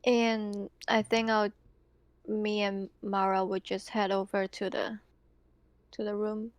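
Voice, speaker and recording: Slow, flat-toned woman player. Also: mid-range voice, clean tone, no room sound. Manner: halting, questioning. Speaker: tabletop game player. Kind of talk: casual game chat.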